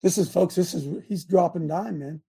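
A male talk-show host with a high, gravelly voice that turns husky at the edges. He talks emphatically, exclaiming to his viewers and calling out instructions to his producer. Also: moderate pace, mellow. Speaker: host of a live talk stream. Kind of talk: casual live-stream talk show.

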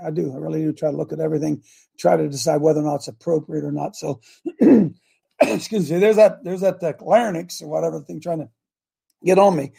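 An older man with a gravelly, hoarse voice hosting a casual live show, rambling and often clearing his throat. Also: a little high, warm tone. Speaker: show host.

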